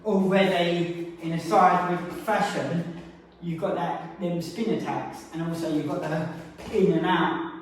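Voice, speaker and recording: Echoey high-voiced man teaching fighting. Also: slight background noise. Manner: explains while demonstrating moves. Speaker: martial arts instructor. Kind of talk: casual spoken technique lesson. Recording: very echoey room, mic far off.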